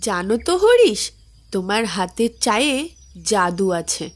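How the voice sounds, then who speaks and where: A woman voicing a sweet, loving young village woman in a cartoon, soft and affectionate. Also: mid-range voice, very animated, slow. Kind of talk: cartoon voice acting.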